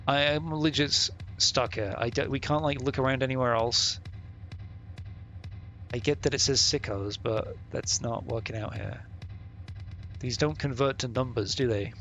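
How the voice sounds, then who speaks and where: A man with a bright, forward voice at a medium pitch streams a text-heavy game. He moves between reading narration aloud and casual, sweary, slangy commentary, with music playing behind him. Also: normal speed.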